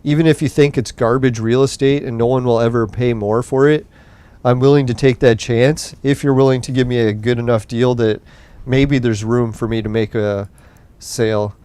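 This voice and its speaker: A man's voice: medium pitch, fairly even intonation, moderate pace, dark tone, clean tone. A young man hosting a gaming podcast, rambling casually and swearing while he plays, his voice tiring late at night. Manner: rambling, profane, laid-back. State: voice getting tired late at night. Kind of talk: casual gameplay commentary.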